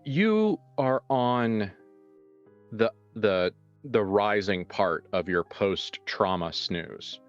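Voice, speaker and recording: Low-voiced man narrating haltingly, slightly muffled. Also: expressive. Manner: halting, repeats and reworks phrases as he improvises. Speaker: game master. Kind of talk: improvised tabletop horror narration, conversational. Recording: muffled lo-fi sound, music behind. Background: background music.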